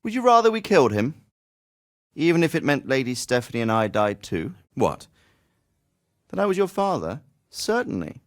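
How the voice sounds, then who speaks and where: A man acting a middle-aged medieval nobleman in a video game, worldly and fatherly, with a measured, formal and reflective manner. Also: mid-range voice, very animated, dry recording, studio quality, natural voice. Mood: firm, insistent.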